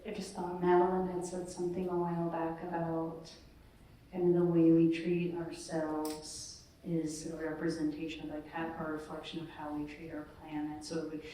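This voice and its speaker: Woman gallery organizer, mellow and low, friendly announcements, far echoey room. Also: monotone, moderate pace, slight background noise. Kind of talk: casual panel discussion. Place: large echoey gallery, live event.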